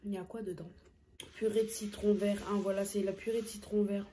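Chatty young woman with a mellow voice. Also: mid-range voice, little pitch movement, fairly quick, slight background noise. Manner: chatty, hesitant fillers, eating between sentences. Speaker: food vlogger. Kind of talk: casual storytime while eating. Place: small indoor room, seated close to camera.

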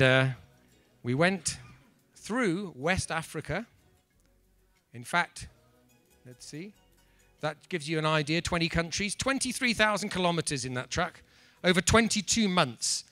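A middle-aged man with an English accent giving a playful, animated comedy talk to a room on a handheld mic. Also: a little high, no echo. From England. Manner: animated, playful, mock-apologetic. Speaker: speaker. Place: conference room.

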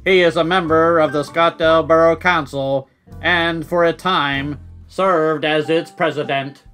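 A man's voice: on the high side, fairly even intonation, a little slow, fairly bright tone, soft background music. A man giving a scripted reading.

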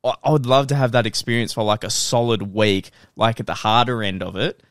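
A man's voice: fairly even intonation, brisk, no echo. Young australian man, casual chat, dry close mic, swears freely. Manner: swears freely, gets loud and high when joking. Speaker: podcast co-host. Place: living room podcast set. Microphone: studio mic on boom arm.

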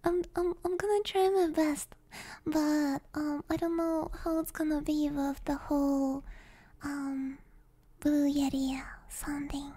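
A young woman speaking in a soft, breathy, often whispered voice, chatting hesitantly and gently as an ASMR streamer close to the microphone. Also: sits high, level tone, slow pace. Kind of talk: ASMR, casual chat with viewers. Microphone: close stereo ASMR microphone.

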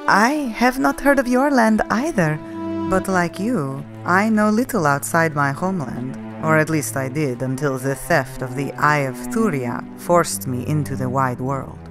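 Guy putting on a higher-voiced young priestess lady, stiff and formal, music playing under. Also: lively intonation. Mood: earnest. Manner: formal, measured speech. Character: proud young northern priestess woman, formal.

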